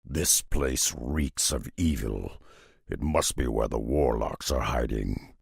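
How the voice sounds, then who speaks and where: An actor playing a gruff, grave wandering warrior in a video game, speaking in grim, solemn declarations with a gravelly, husky voice. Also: male voice, rumbling low, slow, no room sound, clean studio sound, heavily acted. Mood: wary. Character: gruff, grave male wandering warrior who loves beasts.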